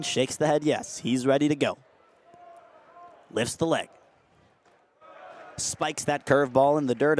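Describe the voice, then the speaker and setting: A young man calling a game as a radio play-by-play announcer, steady between plays and rising to excited shouts on big hits. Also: mid-range voice. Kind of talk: radio sports play-by-play commentary.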